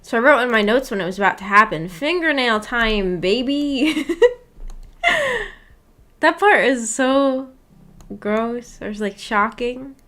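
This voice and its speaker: A woman's voice: fairly high pitch, lively intonation, slow pace, a bit bright. A woman hosting a book club livestream, chatting casually in a giggly, rambling way and often questioning herself. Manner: giggly, laughs often while talking; rambling, self-questioning, puzzled. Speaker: streamer hosting a visual novel book club. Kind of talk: casual livestream chat reacting to a story.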